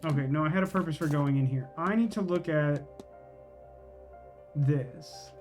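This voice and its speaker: A man's voice: a little high, unhurried, faint music behind. A man doing casual live gameplay commentary, rambling and thinking aloud as he questions himself. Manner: rambling, musing, self-questioning. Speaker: game streamer.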